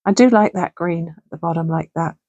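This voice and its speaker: A middle-aged woman with a gravelly, hoarse voice, chatting casually and thinking aloud as she makes something at home. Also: on the low side, fairly quick, darkish tone, no echo, highs cut off. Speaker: crafting channel host. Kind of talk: casual crafting tutorial chat. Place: home sewing room.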